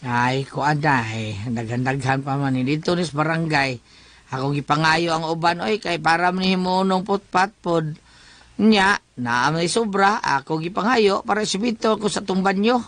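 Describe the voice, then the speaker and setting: Man playing a high-voiced sly Bisaya village councilor. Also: normal speed, fairly bright tone, no echo. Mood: evasive. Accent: Cebuano Filipino. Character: sly, shameless village councilor husband, brushing things off. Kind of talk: comedic Bisaya radio drama acting.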